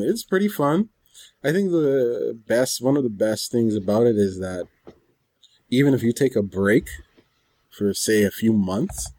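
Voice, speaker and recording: A man co-hosting a podcast in casual conversation, thinking aloud in a halting way. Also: lowish voice, warm tone. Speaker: podcast co-host.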